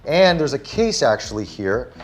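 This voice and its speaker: A man's voice: mid-range voice, sing-song, moderate pace. A man reviewing a phone in casual chat, enthusiastic and demonstrating it hands-on. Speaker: tech reviewer. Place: Singapore studio.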